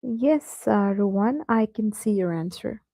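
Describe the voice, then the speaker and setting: Patient female teacher for an online class, gravelly voice, dry close sound. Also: mid-range voice, expressive, a little slow, dark tone, no echo. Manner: patient, addresses students by name.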